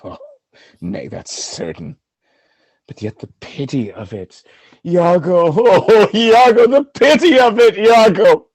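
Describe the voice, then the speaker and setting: Husky, tormented jealous general acted by a man. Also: high-pitched, sing-song, takes it slowly, a little mellow, no echo, exaggerated voice. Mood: grief-stricken. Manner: dramatic, swinging between brooding and outcry. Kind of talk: Shakespearean radio drama acting.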